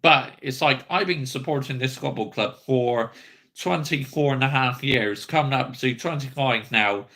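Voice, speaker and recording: A man's voice: medium pitch, normal speed, bright, forceful tone. A man, bluntly ranting and swearing as host of a football fan livestream. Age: 30s.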